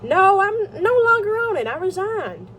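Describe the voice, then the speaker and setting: A woman's voice: very high voice, very animated, a little slow, a bit bright, a little hiss or hum, pitched above the performer's own voice, exaggerated voice. A woman reading an audiobook, voicing an earnest, guilt-ridden younger brother in an emotive, hesitant way. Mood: defensive. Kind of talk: amateur audiobook character reading.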